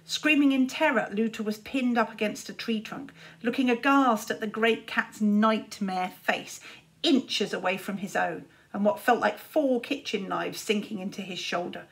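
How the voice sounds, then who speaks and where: A woman's voice: medium pitch, moderate pace. A woman, narrating a children's story expressively, like a teacher reading aloud.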